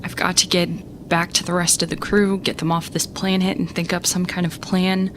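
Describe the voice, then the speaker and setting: A woman playing a scared young student captain in an audio drama, self-doubting but determined, speaking in halting bursts. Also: lowish voice, little pitch movement, background noise, close to an everyday voice. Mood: bitter, despairing. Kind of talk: audio drama monologue as a recorded message.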